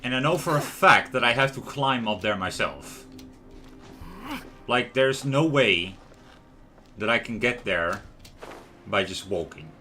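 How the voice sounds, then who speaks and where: A man's voice: medium pitch, expressive, measured pace, long pauses, bright, forceful tone. A man giving casual, rambling gameplay commentary as a livestream host, chatting to viewers as he goes. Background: lo-fi music and game sound.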